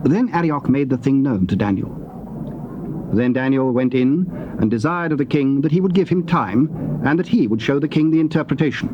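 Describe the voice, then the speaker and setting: A man narrating scripture in archaic English, formal, measured and solemn. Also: medium pitch, expressive, muffled recording. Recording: noisy old cassette.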